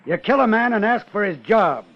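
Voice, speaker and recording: An actor playing a gruff, suspicious whaling captain in a 1950s radio drama, with salty, challenging talk. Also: male voice, sits high, animated, normal speed. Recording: muffled 1950 radio broadcast.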